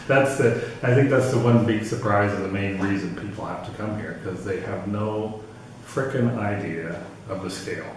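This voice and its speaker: A man's voice: on the low side, normal speed, few pauses. A man from Canada who runs several businesses, speaking bluntly and emphatically in an interview. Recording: very echoey, distant, faint noise.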